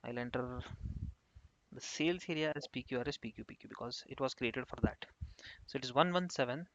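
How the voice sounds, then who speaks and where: A man's voice: mid-range voice, brisk, a little hiss or hum. Man narrating a tutorial, dull lo-fi sound. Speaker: software instructor. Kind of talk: screen-share tutorial narration, unscripted. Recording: dull, lo-fi close microphone.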